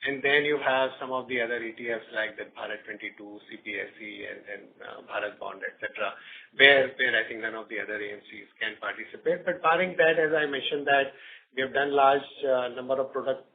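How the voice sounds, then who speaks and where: Male executive, Indian accent, halting earnings-call answers, narrow phone audio with some noise. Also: medium pitch, fairly even intonation. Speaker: company executive answering analyst questions. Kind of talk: earnings call answers, spontaneous corporate talk. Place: conference call over phone line. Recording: tinny phone line with background noise.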